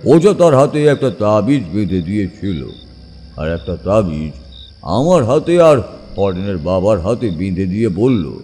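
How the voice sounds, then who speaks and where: A man narrates a horror tale very slowly, with lively dramatic swings in his dark, mellow voice. Long reverb surrounds him and music plays underneath. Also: fairly low pitch.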